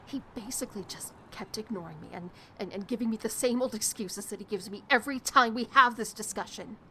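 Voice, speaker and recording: A woman's voice: mid-range voice, brisk, pushed and bright. An actress playing a tough, protective, world-weary young woman in an audio drama, blunt in manner, with faint noise behind her. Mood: weary, bitter.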